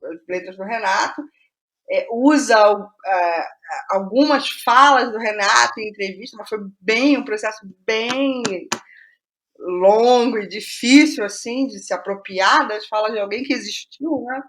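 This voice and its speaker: A woman with a high voice and a Brazilian accent speaks as a guest in a conversational interview. She is reflective and informal, circling back on her own phrases as she explains how she works. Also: measured pace, fairly bright tone, rough recording. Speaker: playwright guest. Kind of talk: conversational interview about creative process.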